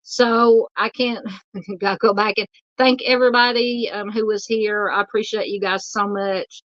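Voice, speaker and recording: A woman with a Southern US accent hosting a true crime livestream, reading out viewer comments in a halting, filler-filled way, polite and a little hoarse from losing her voice. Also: medium pitch, level tone, fairly bright tone, no echo, dull audio.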